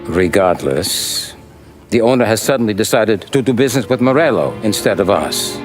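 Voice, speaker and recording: An actor playing a shrewd, coolly formal mafia adviser, speaking precisely and with composure in a crime game. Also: male voice, on the low side, animated, moderate pace, soft background music, lightly acted. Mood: businesslike. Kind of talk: cinematic crime game dialogue.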